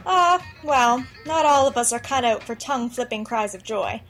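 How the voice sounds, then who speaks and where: High-voiced woman reporter over music. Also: animated, normal speed. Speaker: radio show reporter. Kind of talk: scripted radio narration.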